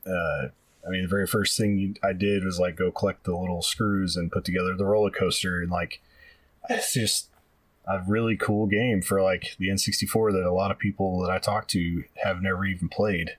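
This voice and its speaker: Deep-voiced rambling male podcast co-host. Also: fairly even intonation, fairly quick, no room sound, far from the mic. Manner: rambling, many fillers and trailing phrases. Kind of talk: casual chat.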